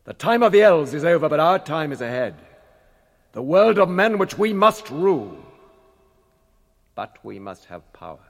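An actor playing a proud, scheming old wizard in a radio drama, with grandiose and scornful theatrical delivery. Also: male voice, mid-range voice. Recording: reverberant chamber radio drama sound.

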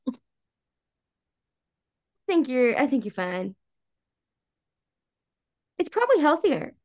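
Female podcast co-host, slightly high voice, chatty and slangy, for informal book talk. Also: big pitch swings, slow, dull audio. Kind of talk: casual book chat.

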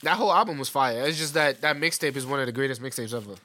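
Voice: medium pitch, racing, pushed and bright. A podcast co-host in casual music chat, laid-back and teasing, laughing often.

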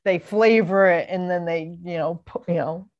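Woman from jersey, lowish voice, easygoing, gushes about food, trails off mid-sentence. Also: normal speed, dull audio. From New Jersey. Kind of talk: casual chat.

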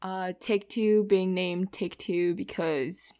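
A woman's voice: lowish voice, fairly even intonation, takes it slowly, warm tone, smooth. A woman in her twenties from Minnesota, co-hosting a casual podcast with dry, teasing humor. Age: 20s. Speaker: podcast co-host. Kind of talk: casual chat.

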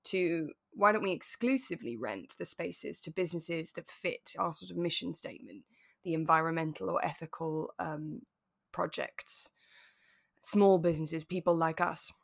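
A woman co-hosting a relaxed discussion podcast, retelling things expressively in a conversational way. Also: low voice, normal speed, creaky. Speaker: podcast co-host. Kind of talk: relaxed chat reviewing a radio drama.

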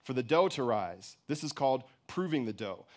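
A man voicing a gentle, wise and sorrowful mother in a story, speaking quietly, tenderly and calmly. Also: medium pitch, lightly acted. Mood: calm, patient. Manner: tender, quiet, calm, unhurried.

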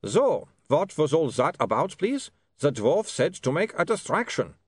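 A man's voice: on the high side, very animated, a little slow, husky, no room sound, voice pushed up, heavily acted. A man voicing a polite, fussy, long-suffering foreign vampire in stilted, formal phrasing for a story. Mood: indignant.

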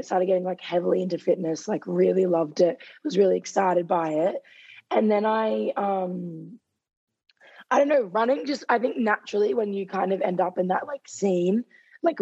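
A woman's voice: fairly low pitch, warm tone, slightly rough, highs cut off. A young woman in her twenties, a guest in an interview, talking casually and saying "like" constantly while she is losing her voice. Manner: chatty, heavy use of "like". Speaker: guest runner.

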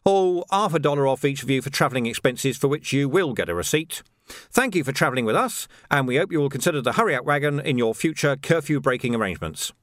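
A man doing a wry, deadpan watch sergeant. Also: medium pitch, fast talker, no room sound, studio quality, pitched above the performer's own voice, lightly acted. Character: wry, dry-witted city watch sergeant.

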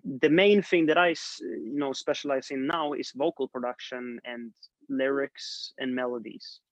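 A man's voice: medium pitch, a little slow, no room sound. A young man from Sweden, a songwriter guest, chatting casually on a video call and laughing often. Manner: relaxed, laughs often, trailing fillers. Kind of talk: casual interview chat. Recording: dull lo-fi dry sound.

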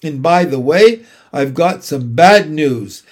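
A husky, sneering rat from a children's storybook, acted out by a man. He is greedy and self-satisfied, stretching his words slowly and with relish. The voice sits fairly high and hoarse. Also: animated, darkish tone. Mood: snide, taunting. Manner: drawn-out, relishing, emphatic delivery. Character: gluttonous, sneering old male barn rat.

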